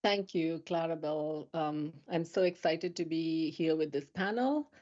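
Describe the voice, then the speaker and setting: A woman's voice: low voice, a little slow, darkish tone, highs cut off. A woman speaking as a panelist, earnest and reflective. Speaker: diversity program manager, panelist. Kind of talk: panel discussion.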